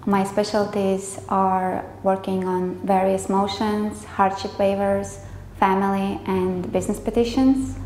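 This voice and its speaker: Female office staffer from Latvia, soft-toned and even, roomy sound with faint noise. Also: medium pitch, unhurried. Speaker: immigration law firm staff member. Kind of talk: on-camera staff profile. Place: indoor office.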